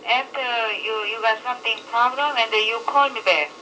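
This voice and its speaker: A restaurant worker with a non-native English accent, speaking in halting, confused, polite fragments during an unscripted phone conversation. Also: medium pitch, steady tone, sharp tone. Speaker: restaurant worker taking a customer call. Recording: distant, noisy telephone line.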